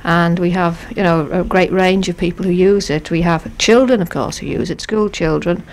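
A woman speaking as a museum guest in a 1970s radio interview, agreeable and measured. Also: on the low side, steady tone. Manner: agreeable, measured, murmurs often. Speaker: museum library staff guest. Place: radio studio. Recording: faint hiss on 1970s radio tape.

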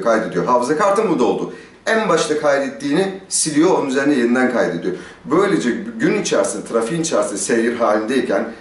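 Middle aged guy reviewing gear to camera, chatty explainer, kinda far from the mic, echoey courtyard sound. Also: medium pitch. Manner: conversational, asks and answers own questions. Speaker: motorcycle gear reviewer, host. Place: small stone-walled outdoor courtyard. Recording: roomy echo, distant microphone.

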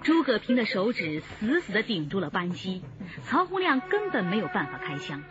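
A woman's voice: mid-range voice, big pitch swings, normal speed. A woman narrator reading dramatic scripted narration for a radio drama. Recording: dull sound under music.

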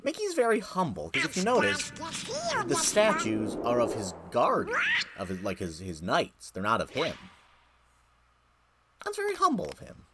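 Wry man with a very lively delivery. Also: medium pitch, normal speed, noisy background. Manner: joking, wry asides. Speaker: let's play host. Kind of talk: casual game commentary.

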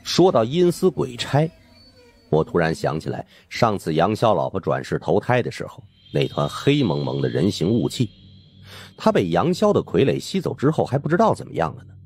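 A man's voice: lowish voice, sing-song, normal speed, darkish tone, breathy edge. A man narrating a suspense audiobook in the first person, reading from a script.

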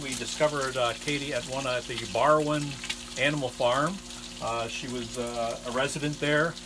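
A man in his sixties giving a casual, halting cooking demonstration as the host in a small kitchen. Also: mid-range voice. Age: 60s. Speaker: home cook and cooking show host. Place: small trailer kitchen, indoors. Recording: noisy kitchen, frying sizzle behind voice.

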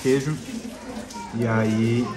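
A man's voice: mid-range voice, steady tone, very slow. A man with a southern Brazilian accent chatting informally in a casual food vlog, often repeating himself. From Santa Catarina, southern Brazil. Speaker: travel food vlogger. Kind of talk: casual vlog chat while tasting food. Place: inside a restaurant. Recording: busy restaurant chatter behind.

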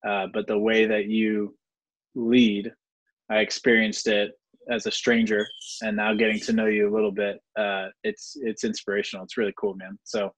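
A man's voice: lowish voice, little pitch movement, normal speed, rough recording. A man in his twenties hosting a casual interview over a video call, informal and encouraging. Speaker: interviewer, host. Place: indoor room at home.

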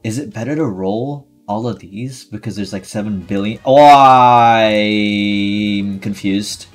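A man streaming a video game with casual, reactive commentary, his delivery excitable and moving between muttered asides and shouts. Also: fairly low pitch, moderate pace, slightly rough. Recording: game music behind the voice. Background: video game music.

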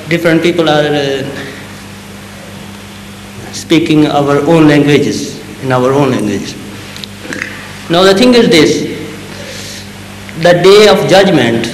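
A middle-aged man whose first language is Urdu, standing at a mic before an audience and asking a question in halting English. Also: a little high. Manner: halting, searching for words. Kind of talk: hesitant question from the floor. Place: large indoor hall, standing before an audience. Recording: echoey hall, faint room noise, old video.

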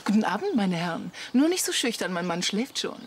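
An actress playing a sensible, dryly teasing wife in a German radio comedy drama. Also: female voice, medium pitch, sing-song, brisk, a little hiss or hum, close to an everyday voice.

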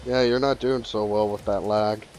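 A man casually commenting on his own gameplay, playful and laughing, with sudden excited yelps. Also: on the low side, moderate pace, soft background music. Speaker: gaming channel host.

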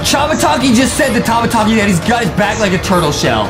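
A young man hosts a gaming video in a hyped, exaggerated way. His pitch swings around a lot, and loud music plays under his excited commentary. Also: fast, a loud crowd. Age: young adult. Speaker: YouTube gaming host.

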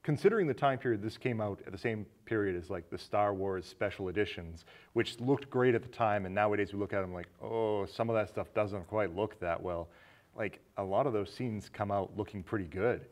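A man's voice: fairly low pitch, fairly quick. A man in his thirties hosting a casual film discussion in a small studio. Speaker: talk show host. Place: small indoor studio set with curtains.

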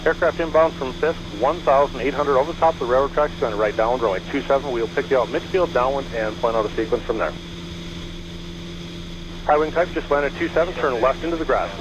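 A man working as a tower controller, giving procedural, courteous landing clearances over aviation radio. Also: medium pitch. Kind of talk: rapid scripted-sounding radio clearances. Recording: dull aviation radio, engine hum. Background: small airplane engine hum.

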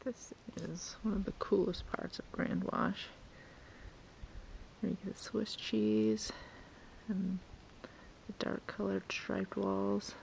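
A man casually narrating his hike outdoors, with relaxed, offhand comments on the scenery. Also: high voice, takes it slowly, gravelly, pauses often. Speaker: travel vlogger. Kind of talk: casual narration while hiking. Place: outdoors on desert trails and in an orchard. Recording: noisy outdoor camera audio.

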